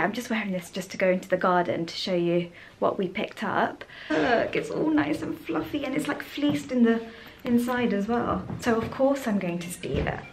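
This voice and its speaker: A woman's voice: mid-range voice, a little hiss or hum. A woman chatting casually and affectionately to her vlog audience. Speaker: vlogger, host of a personal channel.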